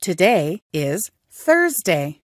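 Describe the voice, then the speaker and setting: A woman teaching English, saying each short everyday phrase several times, slowly and carefully for learners. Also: fairly low pitch, very animated, dry recording, clean studio sound. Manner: slow, careful phrase repetition. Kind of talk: repeating phrases for language learners.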